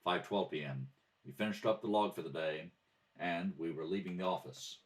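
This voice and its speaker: A man reading scripted police procedural narration in a clipped, matter-of-fact, deadpan way. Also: low voice, normal speed, slight background noise. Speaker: amateur radio theatre reader. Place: at a kitchen table. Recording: slightly distant home microphone.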